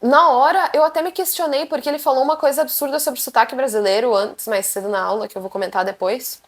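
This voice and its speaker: A young woman with a Brazilian Portuguese accent, chatting to camera as a vlogger and telling stories in a lively, animated way. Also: high voice, fast. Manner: animated, chatty, acts out quotes. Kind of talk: casual storytelling to camera.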